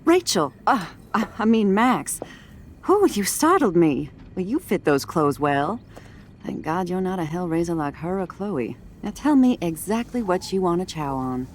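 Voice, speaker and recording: A woman with an American accent playing a warm, wry middle-aged mother in a video game, talking in a folksy, motherly, teasing way. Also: lowish voice, big pitch swings, a little slow, a little hiss or hum. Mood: startled. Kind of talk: video game acting, conversational dialogue. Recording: music under the voice. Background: background music.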